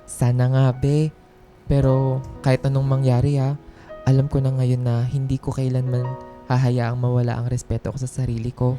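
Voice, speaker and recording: A woman's voice: extremely low pitch, level tone, normal speed, music in the background. A woman playing an earnest, self-doubting young office worker in a radio drama, speaking heartfelt, conversational Tagalog-style lines with a Filipino accent. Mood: resolute.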